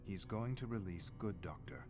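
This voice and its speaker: A man playing a brooding, menacing narrator who tells a dark horror game story in a deliberate, dramatic way. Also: lowish voice, close to an everyday voice. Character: brooding, menacing male narrator of a sadistic doctor's thoughts. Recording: music playing behind the voice.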